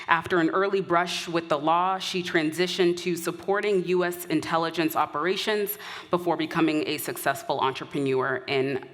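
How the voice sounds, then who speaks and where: A woman's voice: lowish voice, steady tone, moderate pace, a bit bright. A woman host reading prepared introductions at a live event. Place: large event hall. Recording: hall reverb.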